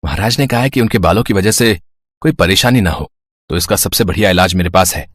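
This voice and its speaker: A man voicing a foolish, overconfident young barber's son, boastful and earnest, in a Hindi animated story. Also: deep voice, fairly quick, dry recording. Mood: confident.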